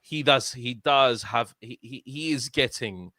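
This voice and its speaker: A man's voice: medium pitch, measured pace, sharp tone, no room sound, clean studio sound. A young man with a London accent, co-hosting a casual football podcast and talking earnestly, often tagging "yeah?" onto his points. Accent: London English. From London, England. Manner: earnest, rambling, repeats "yeah?" and restarts. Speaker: podcast co-host.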